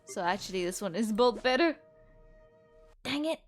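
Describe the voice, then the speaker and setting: A woman's voice: medium pitch, animated, moderate pace. A young woman giving casual let's-play commentary, rambling informally and musing aloud as she plays. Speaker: gaming video creator. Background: game music.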